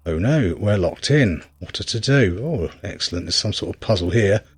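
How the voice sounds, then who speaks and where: A man's voice: low-pitched, lively intonation, normal speed, slight background noise. A man giving casual gameplay commentary, rambling wryly as he thinks aloud while playing. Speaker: game reviewer and player.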